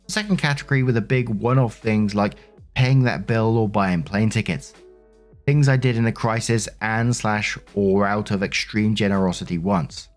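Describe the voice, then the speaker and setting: A man with a fairly low voice narrates online stories as a host. He reads in a conversational style and speaks directly to his listeners with casual commentary. Music plays faintly behind his voice.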